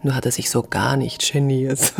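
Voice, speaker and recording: An actress playing a coarse, teasing village prostitute in a radio drama, with a husky, drawling, chuckling delivery. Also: female voice, extremely low pitch, little pitch movement, moderate pace, a little mellow, heavily acted. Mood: teasing, lewd. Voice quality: husky, breathy. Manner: drawling, taunting, breaks into chuckles and half-whispers.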